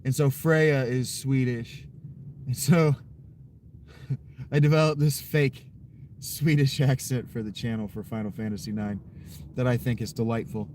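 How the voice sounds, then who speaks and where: A man's voice: medium pitch, steady tone, measured pace, long pauses, a little mellow, slight background noise. A man streaming and chatting with viewers in a joking, rambling way, swearing freely. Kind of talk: casual chat.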